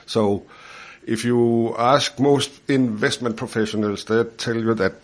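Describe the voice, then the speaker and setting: A man's voice: mid-range voice, fairly even intonation, unhurried. A man in his fifties from Denmark with a Danish accent, a financial adviser chatting in a studio podcast interview, repeating words and chuckling as he explains.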